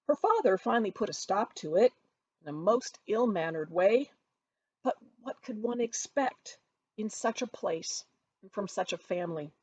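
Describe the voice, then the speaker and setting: Lively, slightly husky woman storyteller. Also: medium pitch, no room sound, muffled recording. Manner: dramatic, emphatic phrasing. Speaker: author reading from their own novel. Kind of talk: expressive storybook narration, read aloud.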